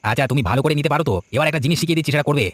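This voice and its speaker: A man's voice: a little high, very fast, soft background music, lightly acted. A man voicing a scheming, scornful village man in a cartoon, with a chatty, insistent manner. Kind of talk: Bengali village cartoon voice acting.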